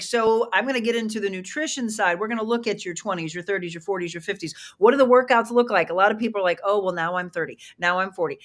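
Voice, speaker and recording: A woman hosting a morning talk show, speaking with a Midwestern American accent in a lively, emphatic way. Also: medium pitch. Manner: lively, emphatic, reads ads smoothly.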